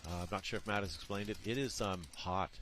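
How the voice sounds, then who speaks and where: A man's voice: fairly low pitch, steady tone, fast talker, a bit bright, slight background noise. Joking man calling paintball. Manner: chatty, joking with co-host. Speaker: paintball commentator. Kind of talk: live play-by-play commentary.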